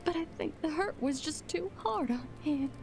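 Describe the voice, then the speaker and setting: A woman's voice: high voice, lively intonation, moderate pace, gravelly, darkish tone, a little hiss or hum. A woman voicing a kind but firm motherly villager woman in a game, with a folksy way of talking. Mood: scolding. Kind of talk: game cutscene dialogue.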